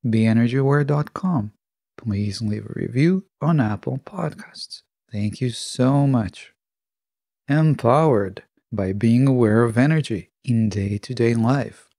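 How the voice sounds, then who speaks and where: A man hosting a solo podcast, reading a scripted monologue in a measured, instructive way. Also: medium pitch, mellow, no echo, studio quality. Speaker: podcast host.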